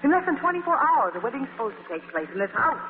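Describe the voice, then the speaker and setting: An actress playing a proud, sharp-tongued woman magazine editor in 1940s radio acting style, heard on a scratchy, tinny broadcast. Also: sits high, very animated, racing, long reverb tail. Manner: crisp, imperious, sharp-tongued.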